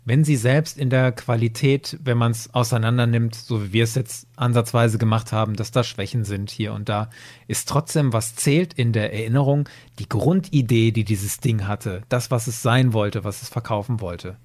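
A man with an average-pitched voice chats as a guest on a podcast. He is opinionated and expressive, arguing his points in a casual, conversational way. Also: normal speed. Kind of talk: casual discussion.

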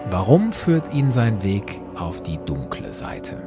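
A man's voice: low voice, huge pitch swings, slow pace, creaky, loud music behind the voice. A man hosting a podcast, delivering scripted commentary in an opinionated, emphatic way. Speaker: podcast host.

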